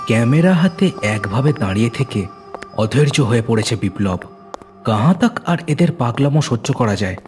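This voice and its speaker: A man narrating a horror story dramatically, with suspenseful, deliberate phrasing. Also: mid-range voice, a little mellow. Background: music.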